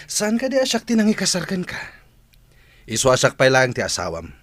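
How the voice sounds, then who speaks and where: A man's voice: medium pitch, huge pitch swings, moderate pace, fairly bright tone, no echo. A man acting a mocking, scheming husband in an Ilocano radio drama. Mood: taunting. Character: mocking, scheming husband of a kept-money wife.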